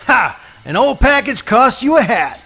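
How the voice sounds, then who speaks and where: A man's voice: very high-pitched, very animated, measured pace, sharp tone, slightly rough, soft background music, heavily acted. A man playing a hot-tempered, greedy alligator in a comic radio play, blustering in folksy, mangled grammar. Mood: indignant.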